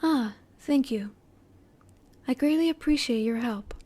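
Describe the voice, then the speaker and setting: A woman voicing a curious, emotionally reserved female alien in an intimate roleplay audio, speaking in a formal, measured, inquisitive way. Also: high voice, dark tone. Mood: curious, polite.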